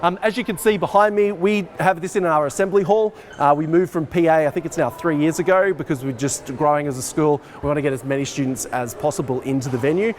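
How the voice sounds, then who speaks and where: A man's voice: on the high side, fast, few pauses. A man in his forties, a teacher, giving an informal walk-through technical explanation to camera in a hall. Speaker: teacher, head of arts and IT department. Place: large dark school assembly hall, indoors. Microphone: camera microphone a short distance away. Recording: noisy hall ambience on camera mic.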